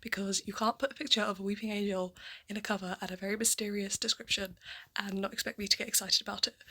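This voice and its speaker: A woman's voice: mid-range voice, flat delivery, quick pace, pushed and bright, slightly rough. A woman talking casually in an interview about being a first-time voice actor.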